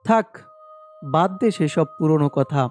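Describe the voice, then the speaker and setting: A man voicing an emotional, complaining young friend in an animated horror story. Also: a little high, a little slow, soft background music, lightly acted. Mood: teasing, sulky.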